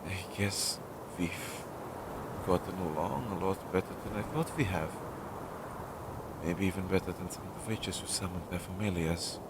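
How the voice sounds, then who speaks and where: A man playing an anxious, devoted crow familiar in an intimate audio roleplay, speaking softly and hesitantly, with pauses. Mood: pleasantly surprised. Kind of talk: intimate second-person audio roleplay acting. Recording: low-quality recording with clear noise.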